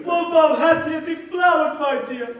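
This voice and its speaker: Shrieking, high-pitched hammy tragic lover. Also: male voice, fairly bright tone, recorded from a distance. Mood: overwrought grief. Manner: bellowing, melodramatic, drawn-out. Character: hammy amateur actor playing a tragic dying lover. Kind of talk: theatrical Shakespearean stage declamation. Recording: echoey hall from afar, audience noise.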